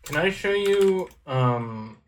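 A man's voice: fairly high pitch, lively intonation, slow pace, slight background noise. A man co-hosting a podcast, chatting casually with dry jokes and frequent laughter. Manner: dry, joking, laughs often. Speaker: podcast co-host. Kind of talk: casual chat.

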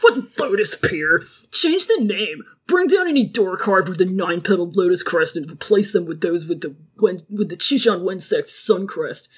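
A woman's voice: fairly low pitch, animated, moderate pace, few pauses, breathy edge. Woman as an arrogant, bossy young villain lord. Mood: haughty. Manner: imperious, dismissive orders. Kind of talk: dramatic reading of a novel's dialogue.

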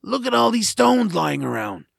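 A man's voice: high voice, big pitch swings, moderate pace, vocal fry, a bit bright, no room sound, clean studio sound, exaggerated voice. A man acting a grumpy, sarcastic swamp creature who likes his naps, in an audio drama. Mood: stern, taunting. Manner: blunt, sarcastic, grumbling. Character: grumpy nap-loving male swamp creature, gruff reluctant rescuer.

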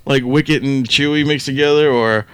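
A man's voice: mid-range voice, fairly even intonation, moderate pace, a bit bright, a little hiss or hum. A man co-hosting a podcast, bantering casually about movies with informal, sweary talk. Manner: informal, profane. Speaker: podcast co-host.

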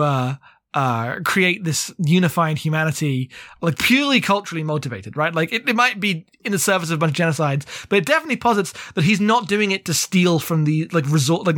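Man, higher-pitched voice, close dry sound; opinionated, meandering podcast chat with plenty of filler "like" and swearing. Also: a bit bright, no room sound. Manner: rambling, heavy use of "like". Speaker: podcast co-host. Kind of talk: casual book discussion.

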